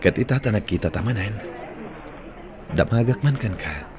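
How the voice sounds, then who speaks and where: A man's voice: fairly low pitch, expressive, normal speed, a crowd in the background, natural voice. A man with an Ilocano accent acting in a radio drama as an earnest old flame wooing a woman again. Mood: earnest, romantic. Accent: Northern Philippine, Ilocano.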